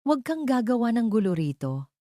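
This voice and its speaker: A woman voicing a weary grown son in an audio drama, calm, weighty and firmly defiant. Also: a little high, brisk, no room sound, clean studio sound, pitched above the performer's own voice. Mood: calm firmness.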